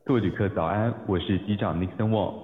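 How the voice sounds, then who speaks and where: A man's voice: lowish voice, quick pace, heavy background noise, close to an everyday voice. A man playing a formal, courteous airline announcer in a radio drama, with dull, echoey sound.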